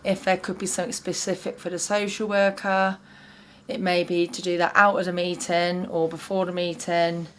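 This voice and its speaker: A woman with an English accent, an advocate, talking chattily and warmly about the young man she supports, with frequent fillers. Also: fairly low pitch, little pitch movement, normal speed, a little hiss or hum. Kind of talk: interview testimony.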